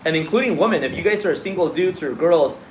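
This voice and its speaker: A man's voice: fairly high pitch, lively intonation, quick pace, slight background noise, low-quality recording. A man from Mexico whose first language is Spanish, speaking with a Mexican Spanish accent in casual, blunt livestream talk as a business coach, swearing and repeating himself freely. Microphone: phone on a live stream.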